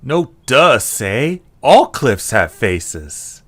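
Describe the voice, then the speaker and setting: A man's voice: mid-range voice, big pitch swings, very slow, exaggerated voice. A man playing a cautious, skeptical young cartoon creature in an amateur audio drama, with a sardonic, questioning manner. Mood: exasperated.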